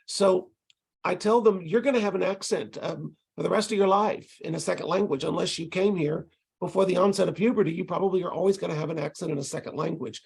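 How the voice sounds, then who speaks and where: Gravelly middle-aged man with a Texas accent. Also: high-pitched, quick pace. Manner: relaxed, conversational explaining with frequent ums and restarts. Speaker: ESL instructor presenting to tutors. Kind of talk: online lecture over video call. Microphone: video call.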